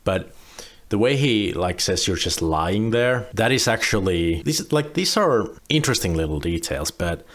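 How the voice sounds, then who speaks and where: A young man, relaxed and rambling, commenting on a video clip he is reacting to. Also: sits low, a little slow. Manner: relaxed, rambling with frequent restarts.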